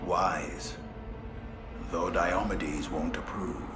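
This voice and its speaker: An actor playing a calm, wise space marine advisor who explains matters plainly. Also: male voice, low-pitched, drawn-out words, roomy sound, over loud music. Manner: calm, informative. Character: deep-voiced male space marine advisor, dry and wise.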